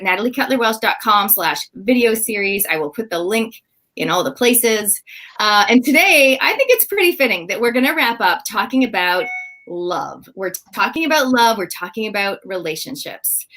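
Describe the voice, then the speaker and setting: A woman's voice: medium pitch, a bit bright. A woman with a Canadian accent hosting a livestream panel over a video call, introducing guests with enthusiasm. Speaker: livestream host.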